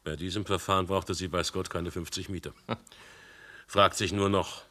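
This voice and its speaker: Skeptical police chief, low and bright voice, dry, radio play. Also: male voice, steady tone, normal speed. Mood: wry. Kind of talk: radio drama acting.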